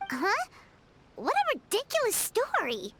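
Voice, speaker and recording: Small excitable game fairy, high childlike squeak, very animated, blunt and wary. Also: female voice, measured pace, a little hiss or hum, heavily acted. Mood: indignant. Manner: refers to self in third person. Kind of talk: video game character acting.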